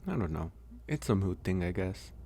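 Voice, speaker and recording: A man playing a gentle, caring werewolf in a soft, intimate ASMR audio roleplay, speaking hesitantly and reassuringly with frequent pauses. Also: low-pitched, big pitch swings, gravelly, slight background noise, full character voice. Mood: hesitant, explanatory. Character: gentle male werewolf, caring stranger nursing a guest.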